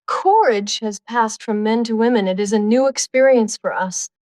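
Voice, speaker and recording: An actress playing a poised, flirtatious, quick-witted duchess in audiobook dialogue, arch and playful. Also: female voice, on the high side, a little mellow, no room sound, close to an everyday voice. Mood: playful, coy.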